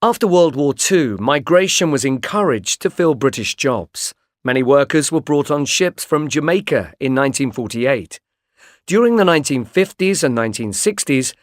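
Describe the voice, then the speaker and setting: A man reading a course text in steady, informative scripted narration. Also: medium pitch, dry recording, clean studio sound.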